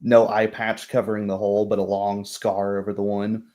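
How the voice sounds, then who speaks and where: Guy running a tabletop game, lowish voice, halting off-the-cuff narration. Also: monotone, dark tone. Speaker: game master. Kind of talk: improvised tabletop roleplay narration.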